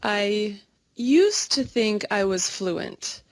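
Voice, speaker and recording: Woman, mid-pitched voice, slow and clear, dry close mic, friendly simple phrasing. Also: lively intonation, no echo. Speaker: English speaker in a practice dialogue. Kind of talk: slow scripted conversation practice.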